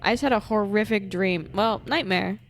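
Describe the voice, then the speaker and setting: A woman's voice: medium pitch, normal speed. A woman streamer doing jokey live game commentary and reading dialogue aloud theatrically. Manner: jokey, playful, theatrical, swears casually. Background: game music.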